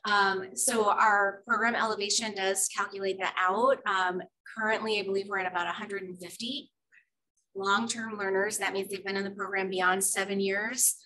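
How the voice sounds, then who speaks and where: A woman presenting program data at a school board meeting, steady and measured, with frequent ums. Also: mid-range voice, slight background noise, low-quality recording. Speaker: school district English learner program presenter.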